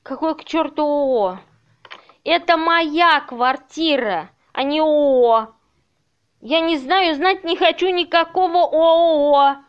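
A woman's voice: high voice, takes it slowly, lo-fi sound. An amateur actress playing a trusting, distraught woman in an audio play. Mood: outraged. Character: Veronika, distraught trusting woman losing her flat.

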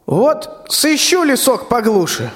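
A man's voice: very high voice, sing-song, slow, exaggerated voice. A young man playing a grumpy, sly tsar and exasperated father in a rhymed radio play, drawling querulously. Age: young adult. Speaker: school student actor. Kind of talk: theatrical verse radio play. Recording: long hall reverb.

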